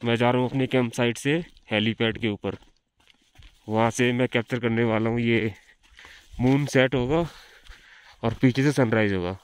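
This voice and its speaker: A man's voice: mid-range voice, fairly even intonation, moderate pace, pauses often, clean tone. A young man vlogging casually outdoors on a mountain, chatting straight to his viewers. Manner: chatty, addresses viewers directly. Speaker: travel vlogger. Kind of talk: casual vlog narration.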